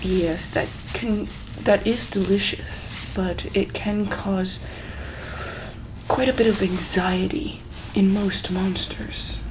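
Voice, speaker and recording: A soft-spoken woman in an ASMR medical roleplay, playing an examiner who asks gentle questions, repeats them, and sometimes drops into a breathy whisper. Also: mid-range voice, fairly even intonation, slow, background noise.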